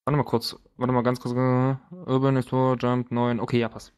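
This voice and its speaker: A man narrating as game master of a tabletop role-playing session in a casual, joking, halting way. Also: mid-range voice, flat delivery, a little mellow, clear, resonant tone.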